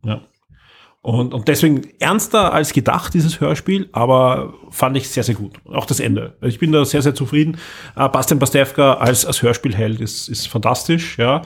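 Husky Austrian man, enthusiastic. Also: mid-range voice, moderate pace. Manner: enthusiastic, emphatic, repeats words. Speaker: podcast host. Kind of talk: casual review chat.

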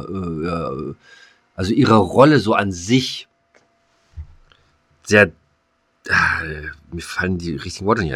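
Casting: man, deep voice, halting podcast banter, dry close sound. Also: a bit bright, husky, no room sound. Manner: hesitant, many fillers and restarts. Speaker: podcast co-host. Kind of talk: casual chat. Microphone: headset.

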